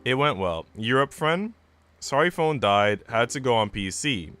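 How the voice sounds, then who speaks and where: A man livestreaming a visual novel, moving between casual, chatty musing and expressive story narration. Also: mid-range voice, a little slow. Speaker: streamer reading a visual novel aloud. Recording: music playing behind the voice. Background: background music.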